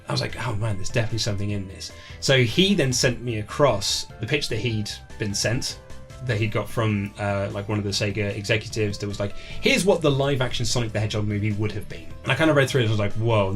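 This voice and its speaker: Fast-talking man telling anecdotes. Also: lowish voice, animated, fairly bright tone, soft background music. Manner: chatty, acts out remembered dialogue in his stories. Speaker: interview guest, author. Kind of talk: casual conversational anecdote telling.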